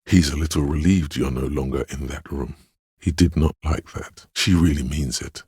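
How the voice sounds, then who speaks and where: A man voicing a gentle, perceptive inner voice in a video game, narrating others' feelings in a measured, hushed, solemn and compassionate way. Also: extremely low pitch, slightly rough, no room sound, studio quality, full character voice. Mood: gently perceptive. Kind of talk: scripted game narration, intimate inner monologue.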